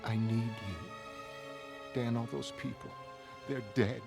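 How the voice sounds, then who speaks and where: A man voicing a shaken, blunt young woman in a motion comic, halting and emotional. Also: medium pitch, fairly even intonation, gravelly, over music. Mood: shaken, pleading.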